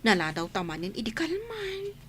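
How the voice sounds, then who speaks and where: Woman acting resentful gossipy young office worker, radio comedy, very high voice, whiny, some background noise. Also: huge pitch swings, normal speed, fairly bright tone. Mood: nagging, gossipy.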